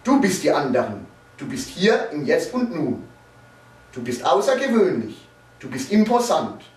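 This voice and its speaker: A man reciting a satirical poem in a declamatory, theatrical and measured manner, drawing out the ends of lines. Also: fairly high pitch, huge pitch swings, darkish tone. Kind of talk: dramatic poetry recitation, satirical. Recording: roomy echo, distant microphone.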